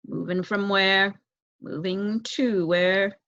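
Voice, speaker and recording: A woman voicing a grave, formal male owl in a storybook, speaking in measured pronouncements. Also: mid-range voice, fairly even intonation, fairly bright tone, no echo. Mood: curt, sure. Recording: dull, lo-fi close recording.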